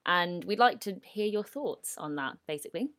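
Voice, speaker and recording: A young woman co-hosting a casual interview, chatty and a little hesitant, restarting sentences and breaking into excited bursts. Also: lowish voice, moderate pace. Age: young adult. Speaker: podcast co-host.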